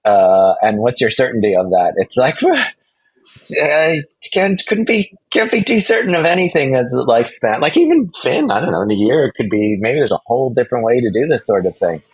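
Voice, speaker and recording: A man speaking casually as an interview guest, animated and emphatic, rambling through false starts and repeated words. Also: mid-range voice, moderate pace, husky, low-quality recording. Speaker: interview guest, product executive.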